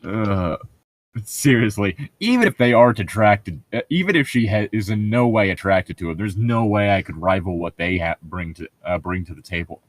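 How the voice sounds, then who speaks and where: Low-voiced, animated man, sarcastic co-host. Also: normal speed. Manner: sarcastic, profane. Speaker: co-host of a book read-along show. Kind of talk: casual mocking chat with read-aloud passages.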